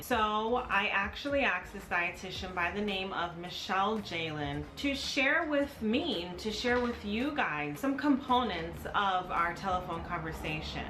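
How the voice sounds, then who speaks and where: A woman's voice: on the low side, noisy background. Woman dietitian doing a channel intro, formal and polished, pretty echoey room. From United States. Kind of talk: read-through channel intro.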